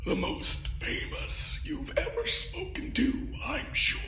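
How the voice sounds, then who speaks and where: An ancient, sinister creature speaks in a high voice that swoops about. It is courteous and cryptic, a captor from an audio drama, heard in an echoing space. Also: male voice, sing-song, vocal fry, bright, forceful tone, slight background noise, heavily acted. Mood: coy, cryptic. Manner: slow, polite, cryptic.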